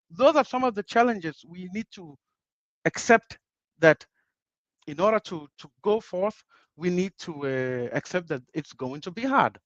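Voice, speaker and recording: A man's voice: sits high, a bit bright, no room sound. A man from Uganda, a guest on a panel discussion, talking earnestly, restarting phrases and repeating himself for emphasis. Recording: dull lo-fi dry sound.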